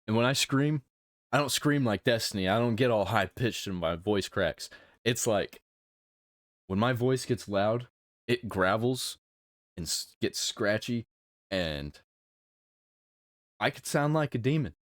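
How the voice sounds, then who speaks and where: A man with a fairly low voice hosts a casual reaction video. He talks bluntly and emphatically, breaking his opinions into short, halting phrases. The sound is dry and close, with little room in it. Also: lively intonation, no echo.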